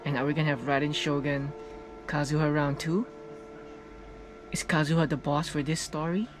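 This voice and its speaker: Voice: mid-range voice, fairly even intonation, normal speed, noisy background. A streamer giving casual reaction commentary while playing a video game. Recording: loud game music behind. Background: video game music and dialogue.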